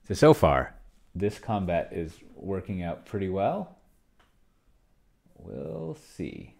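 A man's voice: low voice, very animated, slow pace, creaky, a little mellow. A middle-aged man thinking aloud casually at his desk, often muttering and trailing off as he narrates what he is doing on screen. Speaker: host. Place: indoor home room at a desk.

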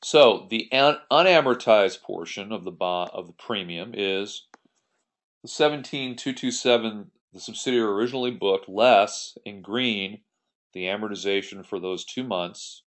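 Low-voiced man teaching step by step. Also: moderate pace. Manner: step-by-step, conversational, poses questions then answers them. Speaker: accounting instructor. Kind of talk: explanatory screencast tutorial, walking through a worked example. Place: voice-over on a screen recording.

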